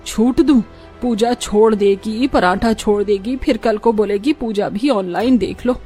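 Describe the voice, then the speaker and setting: A woman's voice: mid-range voice, unhurried, warm tone, soft background music, close to an everyday voice. A woman voicing a strict, traditional middle-aged mother-in-law in a cartoon, who scolds her daughter-in-law. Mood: scolding. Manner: scolding, stern. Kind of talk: cartoon voice acting.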